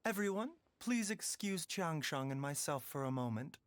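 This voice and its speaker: An actor playing a courteous, composed and secretive doctor in a video game, speaking politely and formally. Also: male voice, fairly high pitch, animated, moderate pace, dry recording, lightly acted. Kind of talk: video game character acting.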